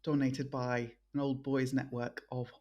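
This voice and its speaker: A woman's voice: a bass voice, flat delivery, moderate pace, darkish tone, muffled recording. Thoughtful Nigerian-born woman, interview guest. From born in Nigeria, raised in South East London. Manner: thoughtful, with frequent ums and restarts. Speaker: guest, think tank director. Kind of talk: reflective interview answers.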